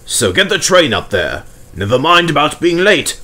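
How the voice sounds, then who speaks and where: A stern, cross railway controller from a children's storybook, as a man acts him out. He speaks in a lifted, animated pitch with a brisk air of command.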